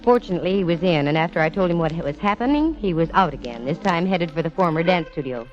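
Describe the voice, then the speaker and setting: A brash young female private eye played by an actress from vintage radio drama. She speaks in a lowish voice with a wry, breezy, slangy delivery. The sound is dull, like an old broadcast. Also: lively intonation, quick pace, lightly acted. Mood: wry narration. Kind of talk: 1940s-50s radio detective acting.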